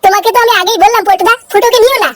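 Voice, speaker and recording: A man's voice: very high voice, fairly even intonation, rapid-fire, very noisy. A man voicing an impatient, foul-mouthed street-wise lad in a comic cartoon, grumbling crudely.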